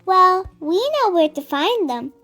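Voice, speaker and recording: A woman's voice: extremely high pitch, sing-song, measured pace, pushed and bright, soft background music, full character voice. A woman voicing a small, friendly striped fish in a storybook, helpful and questioning.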